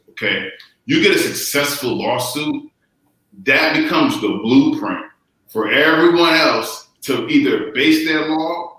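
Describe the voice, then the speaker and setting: A man, a lawyer, lays out a legal argument on a panel. He is emphatic and circles back to his key phrases. His voice is bright and forceful, and the recording has a strong, roomy echo. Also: medium pitch. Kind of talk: casual panel discussion. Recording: very echoey room.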